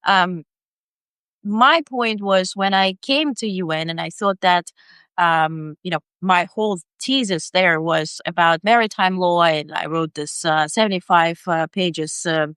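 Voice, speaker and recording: Ukrainian-born woman journalist, lowish clear voice, lots of ums, dry close mic. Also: unhurried, fairly bright tone, no echo. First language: Ukrainian or Russian. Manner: hesitant, many fillers. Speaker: independent journalist, guest. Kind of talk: interview conversation.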